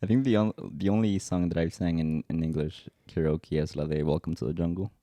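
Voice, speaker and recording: Young male podcast co-host with a Spanish accent, very low mellow voice, relaxed and hesitant, dry mic. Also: a little fast, dry recording. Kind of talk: casual chat.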